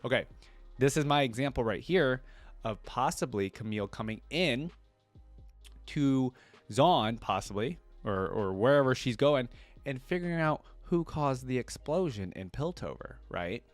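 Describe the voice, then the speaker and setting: A man hosts a fan reaction video in an informal, chatty way. His medium-pitched voice is enthusiastic and casual, captured close and dry with little room sound. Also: expressive, unhurried, dry recording, soft background music. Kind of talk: casual fan commentary alternating with story read-aloud.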